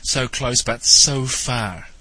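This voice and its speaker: A man's voice: medium pitch, slow, sharp tone, no room sound. A man hosting a talk show, sounding nasal and stuffed up from hay fever, with a wry, questioning manner as he comments on theology. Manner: wry, questioning, lightly teasing. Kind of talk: spoken theology commentary monologue.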